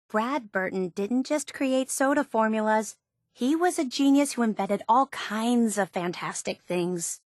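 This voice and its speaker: A woman playing an eager, obsessive young superfan in a video game, with a gushing, earnest, excitable manner. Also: a little high, no echo, clean studio sound. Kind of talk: video game character dialogue.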